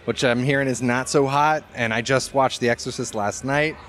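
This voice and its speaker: A man's voice: medium pitch, fairly even intonation, normal speed, fairly bright tone. A man hosting a show in casual chat, with a playful, slangy, opinionated way of talking. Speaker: show host. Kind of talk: casual chat about horror films.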